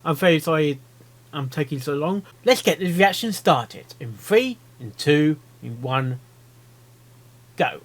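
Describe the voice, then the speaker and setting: A man giving casual live reaction commentary, rambling and repeating himself in a halting way. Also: a little high, expressive. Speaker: reaction video host.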